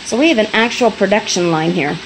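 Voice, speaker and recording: A woman's voice: medium pitch, animated, a little fast, noisy background. A middle-aged woman, chatting folksily as she films on a phone in a small home kitchen. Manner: folksy, chatty, laughs easily. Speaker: homestead vlogger. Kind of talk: casual chat. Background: food frying and sizzling.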